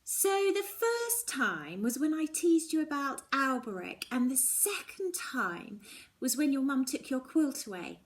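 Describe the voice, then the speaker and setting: Woman voicing a determined, brisk schoolgirl best friend. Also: high voice, sing-song, voice pushed up. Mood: coaxing, determined. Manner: brisk, reassuring, reasoning. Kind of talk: children's audiobook character dialogue.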